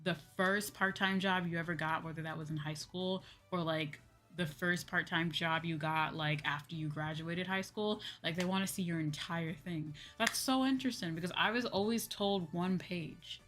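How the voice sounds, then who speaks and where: Female streamer, lowish voice, informal rambling chat over clear background music. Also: fairly even intonation, moderate pace, few pauses, a bit bright. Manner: informal, conversational, frequent "like" and "you know". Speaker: VTuber livestream host. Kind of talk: casual livestream chat with viewers.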